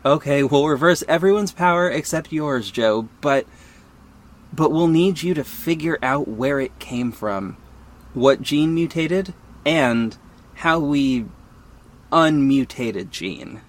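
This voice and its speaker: A man's voice: a little high, lively intonation, slow. A man playing a sarcastic, petty young company head who narrates an audio drama in a wry, dramatic way. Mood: wry, businesslike. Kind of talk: first-person audio drama narration and dialogue.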